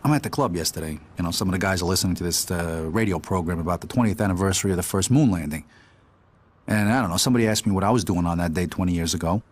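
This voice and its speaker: A tough streetwise mob vet, low voice, talks quick, sweary, crime game style. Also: male voice. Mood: reflective, gritty. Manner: profane, streetwise. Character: hardened mobster Vietnam veteran recounting his past. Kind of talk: gritty crime game voice acting.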